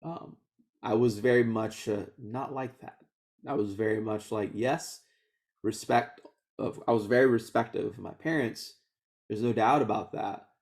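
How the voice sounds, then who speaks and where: A man in his thirties, born in Houston and raised speaking Vietnamese first, recounts his childhood in a loose, conversational interview. His voice sits a little low with a noticeable gravelly fry, and he laughs easily and backs up to restart his sentences. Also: a little slow, darkish tone. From Houston, Texas. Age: 30s. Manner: laughs often, many restarts and fillers. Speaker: oral history interviewee. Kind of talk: relaxed conversational storytelling.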